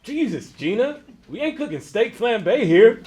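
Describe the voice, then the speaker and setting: A man's voice: high-pitched, sing-song, unhurried, darkish tone. A man playing a blunt, dryly comic employee in a live comedy table read. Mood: dry, exasperated. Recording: faintly noisy live theater.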